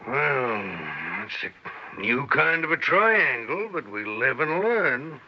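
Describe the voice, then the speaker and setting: A man playing a plain-spoken, skeptical police officer in an old-time radio drama, dry and deliberate. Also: mid-range voice, sing-song, exaggerated voice. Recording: muffled old radio recording.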